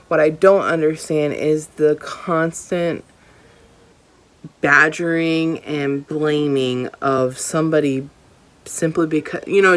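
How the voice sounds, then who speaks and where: A young woman reviewing a book on her vlog in a casual, emphatic and opinionated way, often repeating herself for stress. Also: low-pitched. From Hawaii. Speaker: book vlogger.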